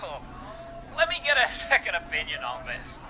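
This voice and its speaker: A man's voice: a little high, huge pitch swings, normal speed. An actor playing a rowdy, beer-loving young fraternity boy in a video game, with boisterous, slangy party talk. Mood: boisterous, insistent. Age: young adult. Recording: noisy call with loud party crowd.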